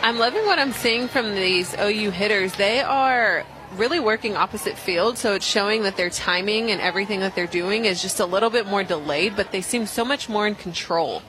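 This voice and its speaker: A woman giving upbeat, appreciative live analysis as the color commentator on a softball broadcast. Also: mid-range voice, fairly quick, few pauses, low-quality recording. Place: outdoor softball stadium. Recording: loud crowd and outdoor stadium noise. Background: crowd noise, outdoor ambience.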